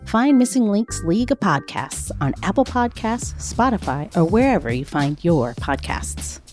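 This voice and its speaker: A woman announcer reading a scripted promo in a smooth, promotional manner. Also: mid-range voice, very animated, normal speed, a little mellow. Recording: music bed behind. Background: faint guitar music.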